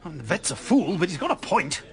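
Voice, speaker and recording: An actor playing an excitable, blustering captain and party campaigner, emphatic and gossipy, in a radio drama. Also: male voice, high voice, fast talker, gravelly, heavily acted. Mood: indignant.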